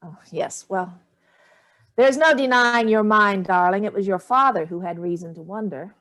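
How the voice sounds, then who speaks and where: A woman's voice: medium pitch, moderate pace, a bit bright, lightly acted. An older woman playing a haughty, evasive elderly mother in a staged play reading, dismissive and theatrical with barbed remarks. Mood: airy, cutting.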